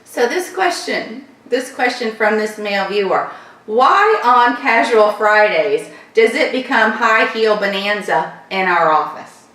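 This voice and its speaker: A woman from Florida with a light Southern accent, hosting a casual viewer Q&A vlog and rambling warmly through her answers. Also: medium pitch, expressive, measured pace, recorded from a distance. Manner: chatty, repeats thanks, loose rambling sentences. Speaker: channel host answering viewer questions. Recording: echoey room, voice a bit off-mic.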